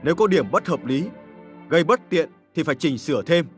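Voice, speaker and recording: A man voicing a dignified, exacting but gracious emperor in a historical cartoon, formal and deliberate in his authority. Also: fairly high pitch, lively intonation, slightly rough, faint music behind. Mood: stately.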